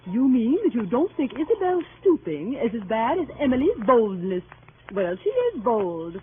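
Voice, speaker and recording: An actress in 1930s radio style playing a simpering, flirtatious and catty spinster aunt with an affected, gushing manner. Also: female voice, a little high, big pitch swings, normal speed, phone-quality sound. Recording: thin, noisy 1938 radio broadcast.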